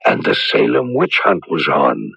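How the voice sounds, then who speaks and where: Grave, gravelly male priest. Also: low voice, huge pitch swings, pushed and bright, no echo, full character voice. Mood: solemn, intent. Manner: measured, probing, deliberate. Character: grave, thoughtful male priest counselling a young man. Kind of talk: radio drama acting. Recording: muffled old radio broadcast.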